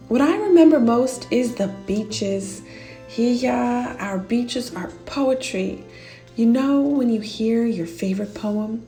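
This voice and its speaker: A woman's voice: fairly high pitch, warm tone. A woman voicing a fond old grandmother who reminisces in a children's storybook. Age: older. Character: warm old grandmother from a Caribbean island. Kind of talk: children's storybook character reading.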